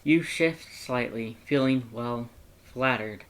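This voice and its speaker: A man reading a story aloud as an audiobook narrator, in a measured, gentle manner. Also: mid-range voice. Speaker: fan fiction audiobook narrator.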